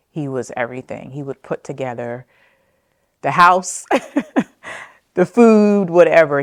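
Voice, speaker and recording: Middle-aged New woman, very deep voice, interviewee reminiscing, dry close sound. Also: no echo. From New Jersey. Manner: gentle and unhurried, with frequent "um" and soft trailing phrases. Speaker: oral history interviewee. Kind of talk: reflective personal storytelling.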